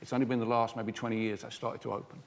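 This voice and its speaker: A man's voice: mid-range voice, level tone, fast talker, creaky. A man with an English accent, reflecting aloud as a panelist in a live discussion. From England. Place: radio theatre stage, live debate. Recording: very reverberant theatre hall.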